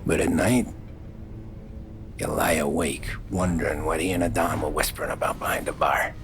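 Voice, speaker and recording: A street-hardened American mobster from a 1930s crime game. His voice sits deep and low, brooding and plain-spoken, a loyal soldier with a troubled mind. Prominent music plays behind him. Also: little pitch movement, moderate pace, vocal fry, fairly bright tone, heavily acted. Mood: brooding, uneasy. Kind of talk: cinematic video game acting, confessional narration and dialogue. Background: background music.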